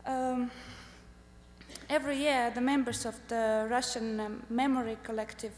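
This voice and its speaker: A woman from Estonia, a native Estonian speaker, giving a conference presentation about her art research, speaking carefully with many pauses and "uh" fillers. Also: on the high side, level tone. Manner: careful, halting delivery with frequent "uh" pauses. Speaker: artist presenting at a summit. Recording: echoey hall with faint hum.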